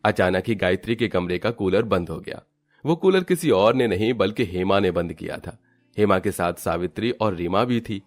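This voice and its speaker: A man's voice: low-pitched, lively intonation, moderate pace. A man narrating a scripted moral story for a cartoon in a storybook style.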